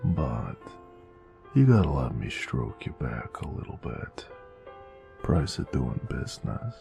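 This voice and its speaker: Man acting a very deep, gravelly noir mobster. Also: very animated, takes it slowly, mellow, in a whisper, faint music behind, heavily acted. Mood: tender, drowsy. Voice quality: very deep, gravelly, breathy murmurs. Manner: old-time gangster slang, sighing, drawling. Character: weary, teasing, affectionate male noir mobster lover. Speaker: voice actor in romance audio roleplay. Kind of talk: intimate noir romance audio roleplay.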